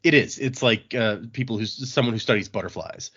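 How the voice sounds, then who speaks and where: A man's voice: on the low side, fairly quick, fairly bright tone, husky, highs cut off. A man hosting a podcast, chatting casually through a discussion of a book. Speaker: podcast host.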